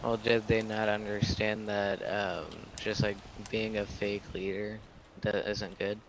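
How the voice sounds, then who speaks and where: A man's voice: lowish voice, level tone, measured pace. A man speaking casually in roleplay over online game voice chat. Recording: game voice chat, noisy background.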